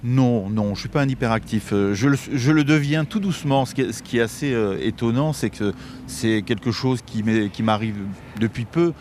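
A man's voice: mid-range voice, normal speed, darkish tone. A man in his fifties, a guest in an interview, talking casually with many fillers and restarts. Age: 50s. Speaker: interview guest, Masonic official. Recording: faint background noise.

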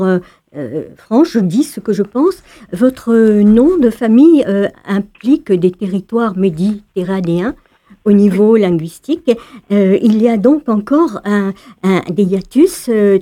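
Woman radio interviewer, mellow voice, rambling questions, lots of hesitations. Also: medium pitch, expressive, measured pace. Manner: long winding questions with frequent fillers.

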